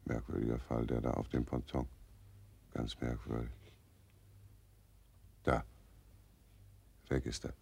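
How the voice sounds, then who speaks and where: Brief: fat jolly Death, a cynical undertaker in an old radio play, booming bass, gravelly. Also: male voice, flat delivery, takes it slowly, pauses often, dark tone, heavily acted. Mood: brooding. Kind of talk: 1940s radio drama acting.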